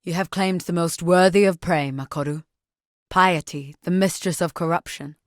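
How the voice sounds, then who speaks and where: An actress voices a proud warrior woman from a fantasy video game. Her voice sits on the low side, and she speaks sternly, with measured, formal solemnity. The recording is dry, with no room sound. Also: no room sound, close to an everyday voice. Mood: solemn, honoring. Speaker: game character voice. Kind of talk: scripted game dialogue.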